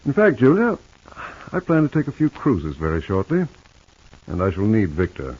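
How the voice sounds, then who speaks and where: Casting: suave, patronizing American tycoon, radio soap, husky low voice, muffled vintage sound. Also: male voice, wildly swooping pitch, normal speed, a little mellow, exaggerated voice. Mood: condescending, needling. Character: smooth, wealthy, condescending estate owner. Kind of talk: 1950s radio soap opera acting. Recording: muffled old radio recording.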